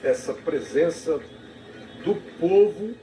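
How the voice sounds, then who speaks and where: A man's voice: a little high, expressive, warm tone, faint music behind. Man commenting, echoey and far off. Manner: reflective, halting, with many restarts. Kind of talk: live broadcast commentary. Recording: TV audio recorded off the air from a distance in an echoey room, noisy.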